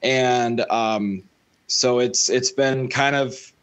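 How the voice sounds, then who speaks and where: A man's voice: medium pitch, fairly even intonation, extremely slow, a bit bright, clear, resonant tone. A young man talking as a guest musician on a podcast over a video call, rambling with fillers and restarts. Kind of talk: casual interview. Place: home room. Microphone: studio mic close, headphones on.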